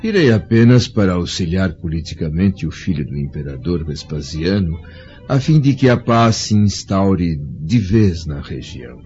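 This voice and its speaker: A man's voice: deep voice, very animated, normal speed, warm tone. An actor playing a dignified, loyal, aging Roman senator and caring father in a radio drama, solemn and courtly. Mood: reassuring. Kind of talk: formal radio drama acting. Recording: dull lo-fi recording, music behind.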